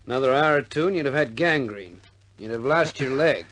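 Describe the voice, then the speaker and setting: Army doctor, middle pitch, blunt, dry, kindly, matter-of-fact, war radio drama. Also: male voice, animated, brisk, fairly bright tone. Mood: wry.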